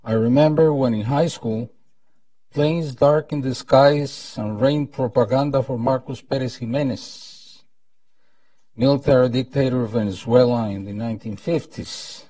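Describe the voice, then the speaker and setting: Older male host, Costa Rican accent, very slow and deliberate formal delivery, soft dark tone. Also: mid-range voice, no echo, distant mic, rough recording. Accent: Costa Rican Spanish. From Costa Rica. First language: Spanish. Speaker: event host, former ambassador. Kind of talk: formal prepared welcome speech. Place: indoor conference room at a lectern. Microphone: lapel mic.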